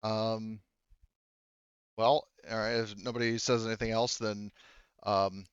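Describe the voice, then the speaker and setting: A man narrating a tabletop roleplaying game as game master, halting and thinking aloud as he goes, through dull lo-fi audio. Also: on the low side, level tone, clear, resonant tone, no echo.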